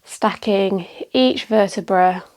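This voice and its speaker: A woman guides a meditation as a teacher, speaking calmly and gently in measured phrases with pauses. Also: mid-range voice. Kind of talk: guided meditation, calm instruction.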